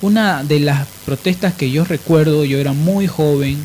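Man, slightly high pitch, Bolivian accent, radio talk and narration, opinionated, noisy hiss behind. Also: normal speed, a little mellow. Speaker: radio host. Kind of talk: radio discussion and biographical narration.

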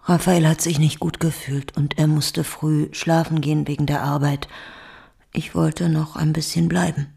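An actress playing a subdued, evasive mother under police questioning, quiet, halting and terse, in a naturalistic radio drama. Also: sits low, little pitch movement. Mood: defensive. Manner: quiet, halting, terse, often breathy near-whisper.

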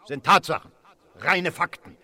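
An actor playing an indignant, pompous, gruff colonel in a radio play, speaking emphatically. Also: male voice, a little high, unhurried, pushed and bright, dry recording, exaggerated voice. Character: indignant, blustering male colonel, sceptical and gruff.

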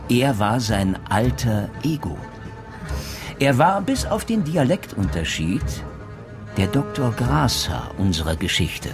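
A deep-voiced male detective in a radio crime drama. He reasons step by step with probing rhetorical questions, his delivery rising and falling in a lively, self-assured way, with music behind him. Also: heavily acted. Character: retired male police detective, methodical, probing, theatrically sure of himself.

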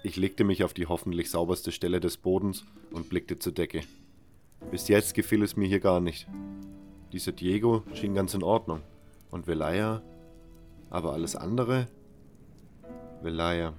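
A man playing a wary, brooding nameless convict who narrates a fantasy audio drama in a measured way. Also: low-pitched, darkish tone, faint music behind. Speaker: first-person narrator.

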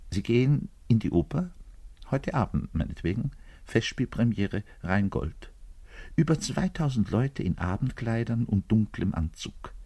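Radio play lead: bitter, lovelorn male orchestra player, pompous and confessional, rough husky voice with very animated melody. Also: fairly low pitch, heavily acted. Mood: hushed suspenseful storytelling. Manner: dramatic, swinging from hushed confiding to loud declaiming. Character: lovelorn, self-important, bitter orchestral double bass player. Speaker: actor in a radio play monologue. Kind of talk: theatrical radio play monologue, confiding in the listener.

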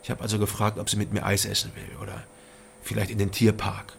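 A man's voice: lowish voice, completely flat delivery, quick pace, creaky, slight background noise. An actor playing a weary, guarded East German man who narrates and speaks in a radio crime drama, restrained and hesitant. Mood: guarded, explaining.

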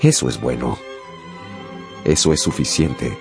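Theatrical male narrator with wide-ranging pitch. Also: huge pitch swings, vocal fry, music in the background. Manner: theatrical, intense, deliberate pauses. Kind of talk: dramatic scripted radio narration.

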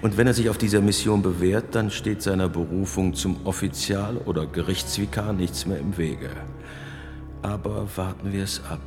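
An older actor playing a grave, authoritative bishop in a German radio drama, stately, benevolent yet calculating. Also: male voice, low voice, fairly even intonation, moderate pace, close to an everyday voice. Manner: stately, commanding. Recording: long reverberant hall. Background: music.

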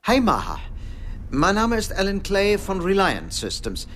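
A man's voice: high voice, huge pitch swings, measured pace, fairly bright tone, slight background noise, exaggerated voice. A man acting a weary middle-aged businessman in a naturalistic radio drama, politely insistent.

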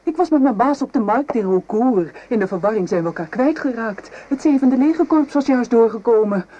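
Actress as anxious farm woman, highish mellow voice. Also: animated, brisk, lightly acted. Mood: defensive. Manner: pleading, hesitant, earnest. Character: anxious, devoted French farm servant woman. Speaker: radio drama actress. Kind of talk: radio play acting. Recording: dull, noisy old radio drama recording.

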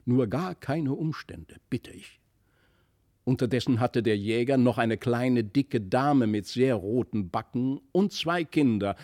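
A man narrating a literary fairy tale from a script, with expressive, deliberate storytelling. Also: medium pitch. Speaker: audiobook narrator.

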